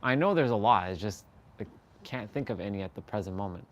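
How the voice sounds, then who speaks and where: A man's voice: on the low side, animated, quick pace. A Canadian man hosting a live stream and chatting casually, rambling and searching for words, with frequent laughs. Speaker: livestream host.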